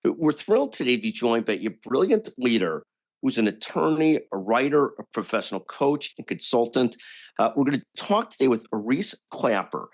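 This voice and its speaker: Lively, upbeat man hosting a podcast. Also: mid-range voice, normal speed. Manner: enthusiastic, restarts with repeated words. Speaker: podcast host. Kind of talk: podcast interview.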